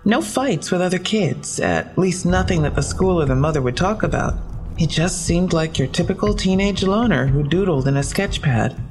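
A woman's voice: on the low side, lively intonation, moderate pace, darkish tone, soft background music, lightly acted. A woman acting a businesslike police detective in an audio drama, speaking in a matter-of-fact, slightly awkward way. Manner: matter-of-fact, clipped case briefing.

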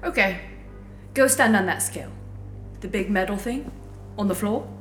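A woman's voice: mid-range voice, moderate pace, soft background music, lightly acted. An actress playing a brusque, offhand female clerk in an audio drama, bossy and matter-of-fact. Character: brusque, casual female clerk running a grisly after-hours trade. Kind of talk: audio fiction acting.

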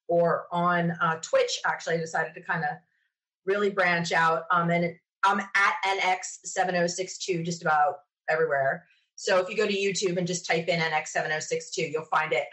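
A woman's voice: fairly low pitch, fairly bright tone, low-quality recording. An American woman talking casually as a guest in a podcast interview. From South Jersey, United States.

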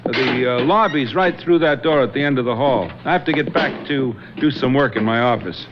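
An actor playing a sinister male police lieutenant who is secretly a knife killer, with a silky, mocking, slow delivery, in a 1970s radio drama. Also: mid-range voice, noisy background, natural voice. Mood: curt. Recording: dull lo-fi radio broadcast.

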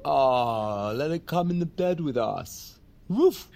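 An actor playing a drunk, jovial, over-confident boyfriend in an audio drama, slurred and rambling. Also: male voice, medium pitch, expressive, takes it slowly, vocal fry, darkish tone, a little hiss or hum. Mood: tipsy, cheerful.

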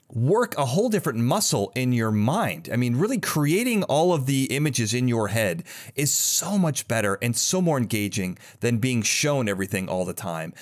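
Man hosting a casual, chatty interview. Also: medium pitch. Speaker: podcast host interviewing a guest.

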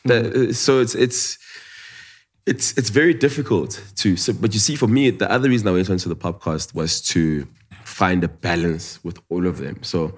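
A man's voice: sits low, normal speed. A man in his thirties with a lisp, chatting casually as a podcast guest, laid-back and swearing freely. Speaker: podcast guest, DJ and radio presenter.

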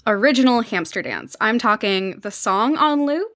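Female podcast guest, fairly high voice, droll enthusiasm, dry studio sound. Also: animated, normal speed, fairly bright tone, no room sound. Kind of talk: casual chat.

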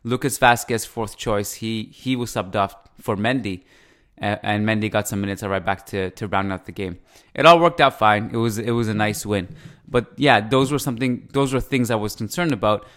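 Male podcast host, lowish pitch, Canadian East Coast accent with Persian touches, casual football analysis. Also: moderate pace, a bit bright. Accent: Canadian East Coast with Persian influence.

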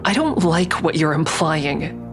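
A woman's voice: low voice, animated, measured pace, over music. An actress playing an anxious, defensive woman under interrogation in an audio drama, guarded and earnest. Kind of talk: audio drama acting with first-person narration.

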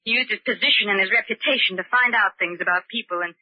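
Actress as desperate young wife, muffled radio. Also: female voice, mid-range voice, quick pace, no echo, natural voice. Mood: resolute, accusing. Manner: earnest, pleading, emphatic. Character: desperate, defiant young wife exposing a villain. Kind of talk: old-time radio drama acting. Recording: muffled vintage radio recording.

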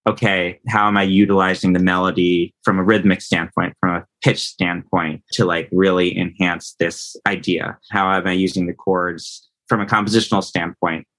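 A man talking casually as a podcast guest, animated and self-deprecating. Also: deep voice, moderate pace, hardly any pauses. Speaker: podcast guest, composer and audio producer. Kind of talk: casual interview conversation.

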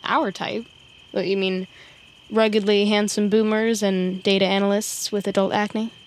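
A woman's voice: medium pitch, flat delivery, a little slow, lightly acted. A woman playing an awkward, chatty sidekick who rambles with wry, self-deprecating humour in an audio drama. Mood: awkward, joking. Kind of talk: naturalistic audio drama acting.

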